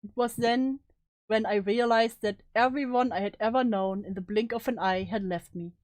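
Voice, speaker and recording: A woman's voice: fairly high pitch, fairly even intonation, normal speed, a little mellow, no echo, natural voice. Anguished, guilt-haunted student read dramatically by a woman. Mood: desperate, anguished. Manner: solemn, melodramatic, anguished. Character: guilt-ridden, despairing young student haunted by loss. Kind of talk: dramatic reading of story narration.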